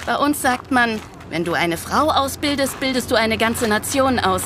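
Woman acting high-voiced, earnest nun, audio drama. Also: lively intonation, normal speed, a bit bright, a little hiss or hum. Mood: friendly, explaining. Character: friendly, concerned Nigerian nun working for peace. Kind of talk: interactive audio drama dialogue.

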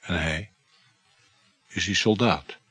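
A hesitant male lover in a radio play, insecure and quietly jealous. His voice is deep and very husky, with an understated, halting way of feeling out each line. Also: full character voice. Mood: awkward curiosity. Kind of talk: Dutch radio play acting.

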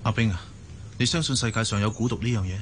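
A man's voice: fairly low pitch, quick pace, a little hiss or hum, lightly acted. A man acting in a Hong Kong Cantonese radio drama as an earnest young hospital doctor who is evasive and hesitant. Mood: concerned, earnest. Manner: hesitant, stammering.

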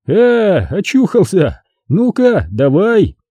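Man doing a fussy, raised-pitch attendant. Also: very animated, drawn-out words, gravelly, warm tone, dry recording, full character voice. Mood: brisk. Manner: colloquial, chiding patter. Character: fussy, rough-and-ready male attendant sobering up a drunk.

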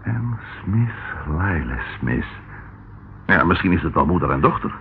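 An actor playing a dry, sceptical Scotland Yard inspector in a Dutch radio detective drama, with a measured way of talking. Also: male voice, deep voice, very animated, full character voice. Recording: tinny, band-limited, noisy old radio recording.

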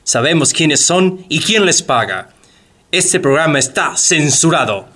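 A man's voice: fairly high pitch, animated, measured pace, bright, forceful tone, full character voice. A man playing a menacing government censor delivering threats in a radio play. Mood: coldly threatening. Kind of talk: radio drama phone threat.

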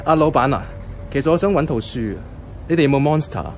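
A man's voice: on the high side, lively intonation, fast talker, darkish tone, a little hiss or hum, natural voice. A man playing an easygoing young office worker in a radio drama, speaking and narrating in Hong Kong Cantonese. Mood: friendly, casual. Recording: music behind the voice.

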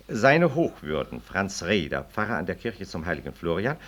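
An actor playing a pompous, self-righteous medical professor in a 1960s radio play, formal and declamatory. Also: male voice, low-pitched, fairly bright tone, heavily acted.

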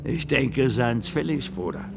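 An actor playing a weary male state prosecutor, stern yet compassionate and measured, in a German radio drama, with a gravelly voice. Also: medium pitch, expressive, background noise. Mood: determined.

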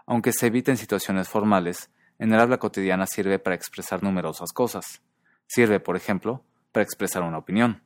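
A man hosting a podcast who explains things in a measured, scripted narration. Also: on the low side, no echo, clean studio sound. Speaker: podcast host.

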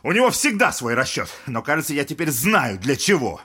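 Hot-tempered young gentleman, sharp high voice. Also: big pitch swings, a little fast, husky, heavily acted. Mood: bitter restraint. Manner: impassioned, indignant, swinging into outbursts. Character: proud, hot-tempered, wounded son, passionate gentleman. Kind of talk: Russian classic audio drama acting.

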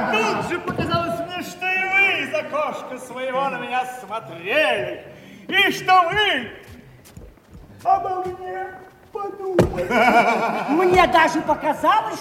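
Elated, high-pitched doting clerk, echoing. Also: male voice. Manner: exclamatory, gushing. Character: elated, doting, sentimental male clerk writing to his beloved. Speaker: stage actor. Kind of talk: theatrical reading aloud of a letter. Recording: echoey hall, long reverb, distant from microphone.

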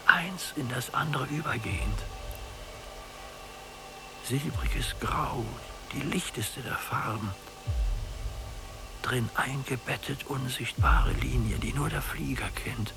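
An actor playing an old, nearly blind prisoner in a radio drama, musing in a halting, poetic way. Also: male voice, mid-range voice, gravelly, over music. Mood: brooding, dreamy. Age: older.

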